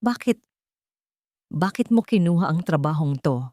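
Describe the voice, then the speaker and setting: A woman's voice: fairly low pitch, expressive, moderate pace, warm tone, no room sound, clean studio sound, close to an everyday voice. A woman voicing a guarded, wealthy lady boss in a romance story, firm, clipped and unemotional. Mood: guarded curiosity.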